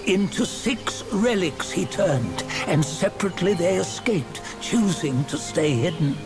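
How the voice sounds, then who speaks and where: An actor playing a weary, reverent old storyteller in a video game, solemnly telling an ancient legend with dramatic pauses. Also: male voice, sits high, expressive. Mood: solemn, wistful. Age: older. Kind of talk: solemn scripted game narration. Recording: music behind the voice.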